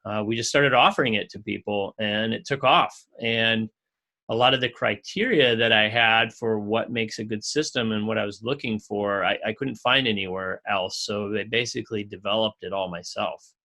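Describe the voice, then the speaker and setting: A man's voice: on the low side, steady tone, moderate pace, bright, forceful tone. A man working as a home contractor, answering interview questions in an earnest, enthusiastic storytelling way.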